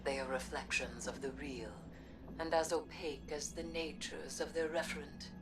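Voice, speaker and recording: An actress playing a solemn, cryptic witch in a video game, speaking deliberately, like an incantation, often near a whisper. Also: deep voice, steady tone, far from the mic, lightly acted, poor audio. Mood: mysterious, reverent. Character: cryptic, solemn witch versed in dark magic. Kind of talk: dramatic video game narration.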